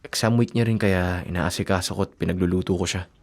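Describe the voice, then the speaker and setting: Low-voiced, gentle young Filipino boyfriend. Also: male voice, fairly even intonation, quick pace. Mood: apologetic, explaining. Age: young adult. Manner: gentle, reassuring, easygoing. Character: devoted, self-sacrificing young working boyfriend and son. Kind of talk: radio drama acting.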